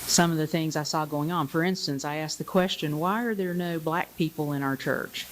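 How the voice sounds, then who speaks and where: A woman's voice: low-pitched, moderate pace, a little hiss or hum, rough recording. A woman, sharing her personal story at a church service in a conversational way with light chuckles. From Bristol, Tennessee area. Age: 60s. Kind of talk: personal testimony. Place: church sanctuary. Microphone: pulpit microphone.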